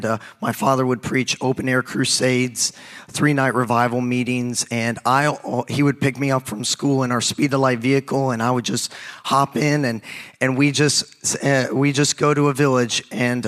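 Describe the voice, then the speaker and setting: Hoarse, raspy young male youth pastor. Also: medium pitch, fairly bright tone. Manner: casual, halting, with many restarts and fillers. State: hoarse from a recent cold. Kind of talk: sermon.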